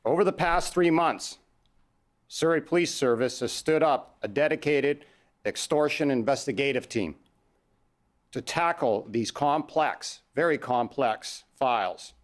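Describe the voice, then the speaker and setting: A man with a Canadian accent, a police chief giving a formal statement at a press conference, measured and deliberate. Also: mid-range voice. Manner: measured, reads numbers out slowly.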